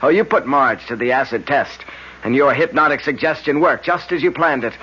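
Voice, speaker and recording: An actor playing a firm, scornful family attorney in a 1970s radio drama. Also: male voice, medium pitch, fairly quick, pushed and bright, slightly rough. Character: stern protective family attorney, middle-aged. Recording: muffled 1970s radio broadcast.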